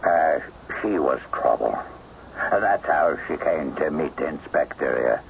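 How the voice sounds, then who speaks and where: A gossipy village innkeeper in a radio mystery. His voice is extremely low and gravelly, rambling along in a folksy, jovial way. The recording is muffled. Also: expressive, measured pace, full character voice. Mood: gossipy, sly. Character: gossipy, jovial male village pub landlord. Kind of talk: radio mystery drama acting. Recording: muffled, noisy old radio drama broadcast.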